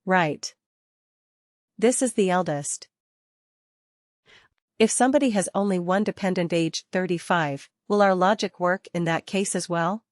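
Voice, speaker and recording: A woman working as a software trainer, teaching interactively step by step, repeating key points and posing questions to learners. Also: on the low side, a little slow, long pauses, no echo, clean studio sound.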